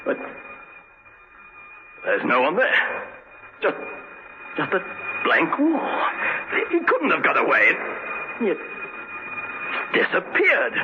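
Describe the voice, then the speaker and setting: An actor playing a dutiful British army officer on guard in a 1970s radio drama, recorded with dull, noisy sound. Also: male voice, high voice, wildly swooping pitch, takes it slowly, long pauses, full character voice. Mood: bewildered.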